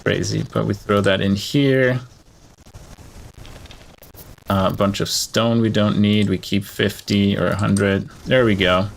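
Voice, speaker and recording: A male streamer talks casually through his gameplay in a low voice with a gravelly fry. He thinks out loud as he plays, over clearly audible background noise. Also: fairly even intonation, normal speed, low-quality recording. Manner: thinking aloud while playing. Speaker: game streamer. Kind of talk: casual live gameplay commentary.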